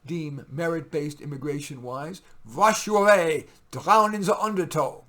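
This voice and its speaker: A man's voice: on the high side, measured pace, fairly bright tone. A man reading his own poetry, theatrical and emphatic in the verse, with casual asides in between. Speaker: poet reading own work.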